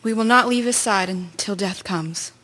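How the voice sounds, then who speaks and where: A woman playing a soft-hearted, sorrowful wartime nurse in a radio drama, plaintive and earnest. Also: mid-range voice, moderate pace, natural voice. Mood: anxious, sad.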